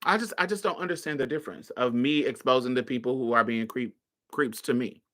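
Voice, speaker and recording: A woman's voice: extremely low pitch, a little fast. A woman hosting a podcast, giving a casual monologue in a sassy, blunt way. She swears freely and laughs often. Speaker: podcast host.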